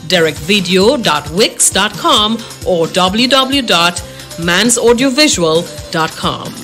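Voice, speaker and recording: A woman's voice: medium pitch, sharp tone. A woman narrating a scripted commercial as an announcer. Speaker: advertisement announcer. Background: music.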